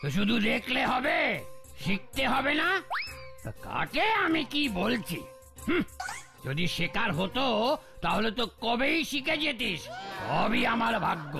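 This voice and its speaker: A man voicing a bossy, easily exasperated older gentleman coach in an animated cartoon. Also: very high-pitched, unhurried, gravelly, over music, heavily acted. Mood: exasperated scolding. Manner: bossy, scolding, repeats words when flustered.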